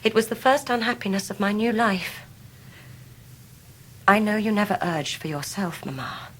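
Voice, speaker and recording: An actress playing a devoted, dignified young Victorian wife in a period radio drama, fervent and formal in delivery. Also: female voice, medium pitch, moderate pace, close to an everyday voice. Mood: fervent, sincere.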